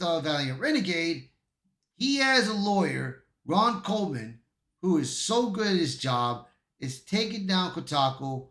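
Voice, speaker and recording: A man's voice: fairly high pitch, fairly bright tone. A man giving online commentary, reading out an article with casual asides and stumbling over some words. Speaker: YouTube commentator. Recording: echoey untreated room.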